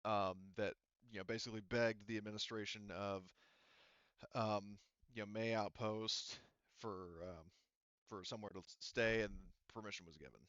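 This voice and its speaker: A man running a tabletop roleplaying game off the cuff as game master, rambling and halting with frequent restarts and asides. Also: fairly low pitch, steady tone, fairly bright tone, clear, resonant tone, dry recording, muffled recording.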